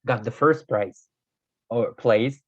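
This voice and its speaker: Guy explaining korean grammar, not a native speaker, lots of uh's and restarts, bit hoarse, dry lo-fi sound. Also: medium pitch, slow, no room sound. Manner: hesitant, many fillers and self-corrections. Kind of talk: casual video lesson. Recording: dull, dry lo-fi recording.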